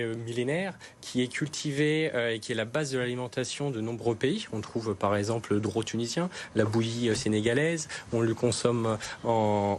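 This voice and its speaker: A man's voice: mid-range voice, slight background noise. A man, a farmer, explaining his crops in an interview, earnest, with many hesitations and restarts. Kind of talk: interview answers.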